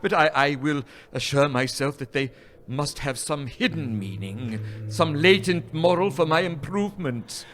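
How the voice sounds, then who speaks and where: Man as fearful old miser begging a spirit, high lively voice, music behind. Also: unhurried, a little hiss or hum. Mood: dismayed. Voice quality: lively, imploring. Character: frightened old male miser pleading with a ghost. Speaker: radio play actor. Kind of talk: live radio play acting.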